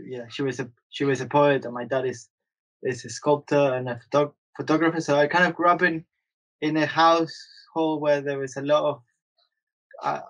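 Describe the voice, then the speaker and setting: Hesitant artist from Argentina. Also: male voice, mid-range voice, a bit bright, low-quality recording. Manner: hesitant, many fillers and restarts. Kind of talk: casual interview talk.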